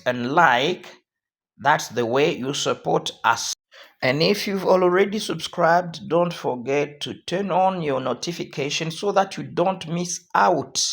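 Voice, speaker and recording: Slow, hoarse man teaching sewing. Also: on the high side, fairly bright tone. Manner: slow, repetitive step-by-step instruction. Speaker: sewing tutorial host. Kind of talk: instructional tutorial.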